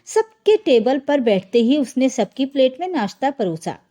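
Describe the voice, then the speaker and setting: A woman's voice: sits high, sing-song, normal speed, dark tone. A woman narrating a scripted family drama story as a storyteller.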